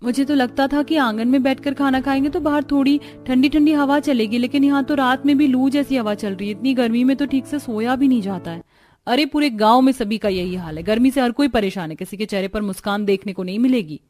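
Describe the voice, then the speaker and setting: A woman playing a young village daughter-in-law in a cartoon story, expressive and chatty. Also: sits high, fairly quick, faint music behind, lightly acted. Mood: plaintive. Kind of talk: Hindi cartoon story dialogue.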